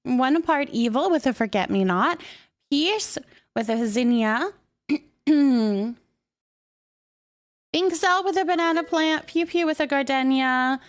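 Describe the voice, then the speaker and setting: A woman's voice: sits high, expressive, slow, long pauses. A woman hosting a livestream, chatting casually and greeting viewers in a bubbly, affectionate, chatty way. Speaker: live streamer hosting.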